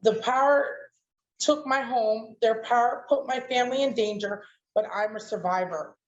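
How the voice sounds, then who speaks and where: A woman's voice: fairly high pitch, normal speed. A woman reciting her own poem in an emphatic, declamatory spoken word manner. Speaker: poet, showcase participant. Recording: roomy, dull lo-fi online audio.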